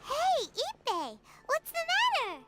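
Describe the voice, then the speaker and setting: A woman voicing a tiny, childlike fairy companion in a video game, squeaky, perky and eager. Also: huge pitch swings, slow, exaggerated voice. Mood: excited. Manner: perky, refers to herself in third person. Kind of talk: English video game voice acting.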